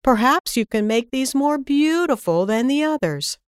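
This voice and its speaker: A woman voicing a kindly but firm fairy in a storybook, patient and measured as she teaches. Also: high voice, expressive, clean tone, dry recording, clean studio sound, voice pushed up.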